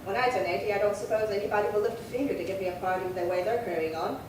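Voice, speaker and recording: A woman's voice: medium pitch, very fast, lightly acted. A woman acting a prim, chatty, indignant old lady in her late seventies in a 1950s-style radio crime drama, fussy and rambling. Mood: peevish. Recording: very echoey, distant, noisy room.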